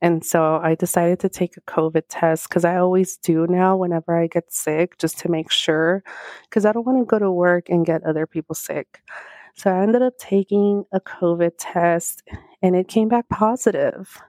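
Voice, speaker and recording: A woman with a slightly low, scratchy voice talks through a casual solo podcast, rambling and chatty with plenty of fillers. She is alone in a quiet office with a small handheld mic, and the sound is close and dry with no room echo. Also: normal speed. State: scratchy voice from recovering illness. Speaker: podcast host. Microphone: small handheld microphone.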